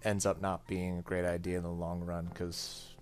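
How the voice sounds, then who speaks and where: Male gaming YouTuber, low register, reading story text aloud with offhand remarks, light music behind. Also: completely flat delivery, normal speed, a little mellow. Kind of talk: let's play, reading game text aloud with casual comments. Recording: faint game music behind voice.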